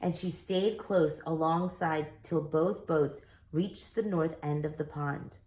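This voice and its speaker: A woman reading a children's book aloud as an expressive storyteller, recorded in an echoey room. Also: low-pitched, slow.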